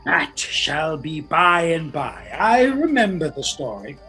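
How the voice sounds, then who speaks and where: A male actor as a drunken butler who fancies himself king of the island. The voice is high and slow, full of boastful swagger, in a Shakespearean radio play with music underneath. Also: very animated, pushed and bright, heavily acted. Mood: smug swagger. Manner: blustering, boastful.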